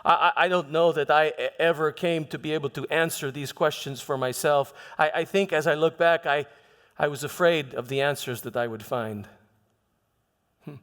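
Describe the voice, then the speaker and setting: A man playing an aging, haunted Roman governor, delivering a reflective, dignified dramatic monologue in measured, halting phrases. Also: fairly high pitch, fairly even intonation, a bit bright, lightly acted. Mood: solemn, searching. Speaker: performer in a church dramatization. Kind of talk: dramatic first-person monologue. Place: large church hall. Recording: long hall reverb.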